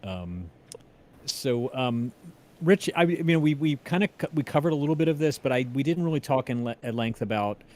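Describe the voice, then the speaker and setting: A man's voice: mid-range voice, animated, normal speed, darkish tone. A man hosting a casual livestream interview, tentative and informal, often rephrasing as he goes. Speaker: interviewer, stream host.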